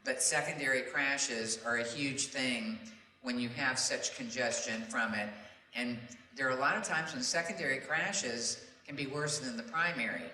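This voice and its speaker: Bright-voiced man in an echoey room. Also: mid-range voice, moderate pace. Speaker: traffic reporting veteran on a panel. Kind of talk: animated anecdotal panel talk. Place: large room at a public meeting. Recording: roomy echo, distant mic, background noise.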